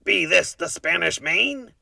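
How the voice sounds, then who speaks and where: A boisterous pirate captain, voiced by a man reading a storybook aloud, bellows every line in pirate talk. His voice is gravelly and pushed up high. The sound is dry and comes from a little way off the mic. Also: animated, unhurried, pushed and bright, no echo, recorded from a distance, exaggerated voice. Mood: bellowing. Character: loud gruff male pirate captain, boisterous.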